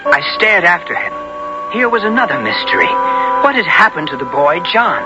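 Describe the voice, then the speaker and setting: An actor playing an earnest, hot-headed young squire in a 1970s radio drama. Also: male voice, high-pitched, sing-song, moderate pace, over loud music. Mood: narrating. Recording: muffled, hissy old radio broadcast.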